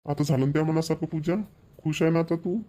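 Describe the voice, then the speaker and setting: Man playing a young husband, quick, warm tone. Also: a little high, level tone, lightly acted. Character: young husband, eager to please.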